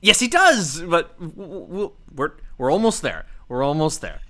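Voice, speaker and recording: A man co-hosting a casual podcast recap. His voice is bright and a little high, and it swings up and down in a very animated way. He talks informally and swears now and then. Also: normal speed. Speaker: podcast host. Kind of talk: casual chat recapping a wrestling show.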